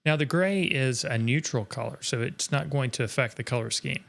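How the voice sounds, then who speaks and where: A man's voice: mid-range voice, normal speed, creaky, a bit bright. Earnest encouraging male art instructor. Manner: earnest, encouraging, speaks in long reflective runs. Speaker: art instructor co-hosting a live stream. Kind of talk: live-stream chat, reading viewer comments.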